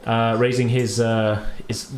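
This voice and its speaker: Male game master, informal and easygoing, medium pitch, recorded in an echoing room. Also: little pitch movement, slow, a little hiss or hum. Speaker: game master, podcast host. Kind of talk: casual tabletop game narration. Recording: roomy echo, clear group chatter behind.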